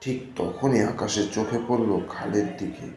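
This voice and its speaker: A man's voice: fairly low pitch, steady tone, normal speed, reverberant, a crowd in the background. A man narrating a scripted cartoon story.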